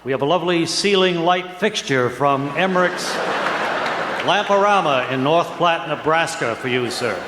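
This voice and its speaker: An old radio recording with clear noise and long reverb. A man with a fairly high voice announces comedy as host, deadpan and formally polite. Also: moderate pace.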